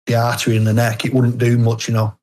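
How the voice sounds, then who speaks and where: Male author as podcast guest, mid-pitched, conversational, hesitant and stop-start. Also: monotone, a little mellow. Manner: halting, hesitant phrasing with repeated false starts. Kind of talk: casual interview chat.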